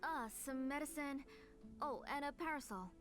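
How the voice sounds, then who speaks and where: A caring, overprotective mother from an anime game, speaking in a fairly high voice. She frets and gently scolds, with music clearly playing behind her. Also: normal speed. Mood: cautious. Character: fussing, overprotective, caring middle-aged mother.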